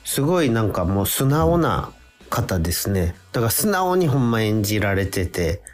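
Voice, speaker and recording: A man's voice: lowish voice, big pitch swings, moderate pace. A man chatting casually as a guest on a radio talk show. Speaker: radio guest, stage actor. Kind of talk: casual chat. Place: radio studio. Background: background music.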